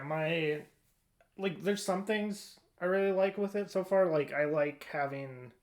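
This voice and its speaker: A man's voice: mid-range voice, vocal fry. Casual rambling man chatting about games. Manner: informal, rambling, thinks aloud. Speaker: podcast co-host.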